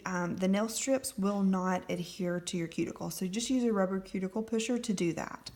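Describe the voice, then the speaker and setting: A woman's voice: lowish voice, darkish tone. A woman giving a casual step-by-step manicure tutorial, explaining each step with frequent ums. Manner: friendly step-by-step instructions with frequent fillers. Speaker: nail product demonstrator.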